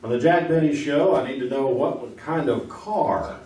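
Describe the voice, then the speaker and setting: A man's voice: medium pitch, normal speed, a little mellow, natural voice. A man playing a folksy, joking 1950s game show host on a theater stage. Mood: teasing. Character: jokey bumbling male 1950s quiz host. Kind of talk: live stage radio acting. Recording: roomy theater, far mic, audience noise.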